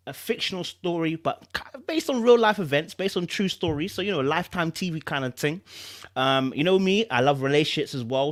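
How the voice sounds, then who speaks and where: A man with a London accent hosting a live stream, moving between casual chat and expressive reading from a book. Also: mid-range voice. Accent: British, London. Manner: informal, repetitive, checks in with listeners.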